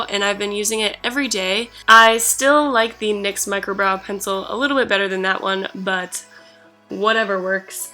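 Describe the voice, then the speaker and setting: A woman with a stuffy nose from a cold, talking through a makeup tutorial in an excited, chatty way. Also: medium pitch, roomy sound. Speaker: beauty YouTuber.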